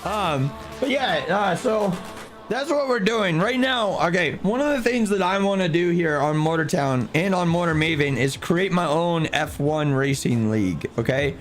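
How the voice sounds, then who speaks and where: Streamer type, hoarse man with a slightly high voice, chatty with chat, music under him. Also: expressive, moderate pace. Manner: chatty, greets viewers by name. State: hoarse, losing his voice. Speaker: streamer playing a driving sim. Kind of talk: casual live-stream chat.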